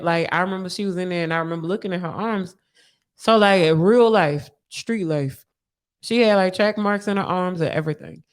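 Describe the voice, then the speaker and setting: A woman telling a personal story in casual, animated podcast style, with candid slang and frequent swearing. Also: fairly low pitch.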